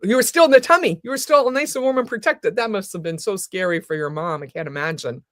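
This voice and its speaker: A woman's voice: mid-range voice, fast, no room sound, studio quality. A woman hosting a live talk show, effusive and enthusiastic as she chats with her guest. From United States. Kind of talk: live interview chat.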